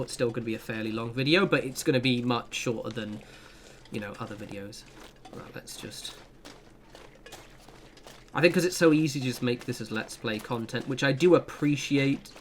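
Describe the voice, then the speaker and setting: A man's voice: mid-range voice, a bit bright, slight background noise. A young man giving a casual, rambling gameplay guide with self-deprecating asides. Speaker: gaming channel host.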